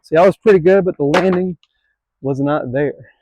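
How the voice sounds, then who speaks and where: A man's voice: fairly high pitch, moderate pace, mellow, slight background noise, poor audio. A man in his late twenties filming a casual skateboarding vlog, rambling and self-deprecating, swearing now and then. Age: 20s. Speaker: skateboarding vlogger.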